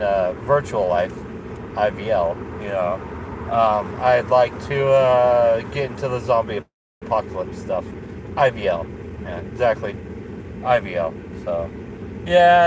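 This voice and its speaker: A man in his late thirties talks into a dashboard phone camera in his parked car at night. He rambles loosely, stumbling over fillers and restarts with little chuckles. Steady car and road noise sits clearly behind him. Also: medium pitch. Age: 30s. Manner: meandering, many fillers and restarts, chuckles often. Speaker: vlogger. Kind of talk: rambling casual vlog. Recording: noisy car interior.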